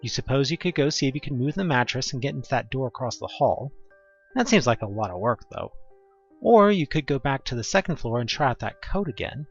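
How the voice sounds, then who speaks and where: A man's voice: medium pitch, expressive, brisk, husky, muffled recording, faint music behind. A man narrating an interactive horror story in the second person, with a theatrical, wry delivery. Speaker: narrator of a fan audio drama.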